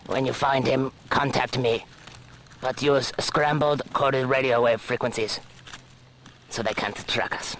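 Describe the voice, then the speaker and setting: A man voicing a bossy, scheming terrorist commander in an amateur action-figure cartoon, pompous and deliberate, on a home-made recording. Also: mid-range voice, fairly even intonation, fairly bright tone. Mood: commanding, scheming. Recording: very noisy home-made cassette dub.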